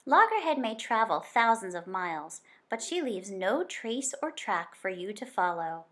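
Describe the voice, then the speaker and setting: A woman reading a children's nonfiction picture book aloud like a teacher, in an expressive, deliberate storytelling manner. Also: medium pitch.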